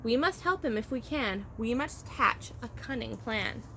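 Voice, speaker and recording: A woman reading a children's picture book aloud as a childcare storyteller, expressive and rhythmic with the rhymes. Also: on the high side, unhurried. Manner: expressive, rhythmic rhyming delivery. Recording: faint background noise.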